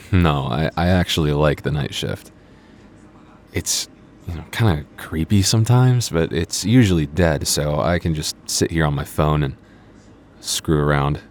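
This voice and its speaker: A man acts out a young male vampire working the night shift, with an extremely low voice. He is laid-back and dryly teasing, stumbling slightly over his words in close, casual ASMR roleplay dialogue. Some noisy ambience sits behind him. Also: a little slow. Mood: relaxed. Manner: hesitant, stammering, dryly teasing.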